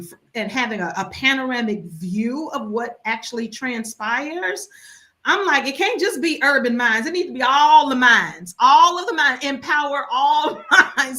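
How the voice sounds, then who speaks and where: Woman educator, emphatic and animated, slightly high, bright voice. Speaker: education consultant, former teacher. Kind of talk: casual panel conversation.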